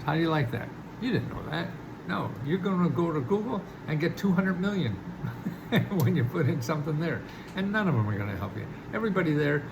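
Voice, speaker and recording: Gravelly older man, chatty and amused. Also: on the high side, noisy background. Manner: chatty, chuckles at own jokes, many restarts. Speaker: host giving money advice. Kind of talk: casual direct-to-camera talk.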